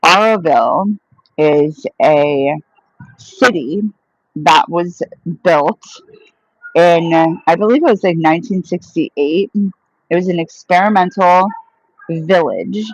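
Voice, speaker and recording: A woman's voice: on the low side, slow, pauses often, no room sound, highs cut off. Woman, casual live-stream host, rambling chatty delivery, dry close sound. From United States. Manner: chatty, rambling, reads and answers viewer questions aloud. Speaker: vlogger answering live chat.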